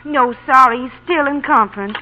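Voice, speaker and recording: An actress playing a harried, obliging office secretary in an old radio commercial. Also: female voice, high-pitched, normal speed, close to an everyday voice. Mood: busy, flustered. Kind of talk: 1930s-40s radio commercial acting. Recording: tinny, noisy old radio, mains hum.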